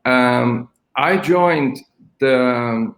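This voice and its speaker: An older man from Brazil, a school principal, speaking haltingly with many ums on a video call from his flat. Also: mid-range voice, roomy sound, faint music behind. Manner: hesitant, many ums and restarts. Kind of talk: online interview. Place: flat at home.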